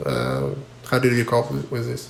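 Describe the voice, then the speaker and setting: A man's voice: mid-range voice, very animated, creaky, slight background noise. Hesitant man interviewing. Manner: halting, many fillers, apologetic. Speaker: interviewer and channel host. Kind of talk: casual interview in non-native English. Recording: echoey room with faint ambience.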